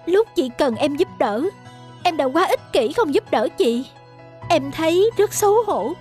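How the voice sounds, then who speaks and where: A woman's voice: sits high, expressive, measured pace, darkish tone, faint music behind. A woman voicing a remorseful young woman in a cartoon, pleading and earnest. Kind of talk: cartoon voice acting.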